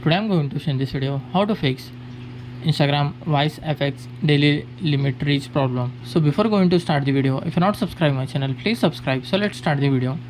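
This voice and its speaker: A man presents a phone how-to tutorial in voice-over. His voice sits a little higher than usual for a man. He gives simple step-by-step directions to his viewers, and background noise hums under the recording. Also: brisk, a little mellow. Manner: plain step-by-step instruction, addressing viewers as guys. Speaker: tech tutorial host. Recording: clearly noisy background hum.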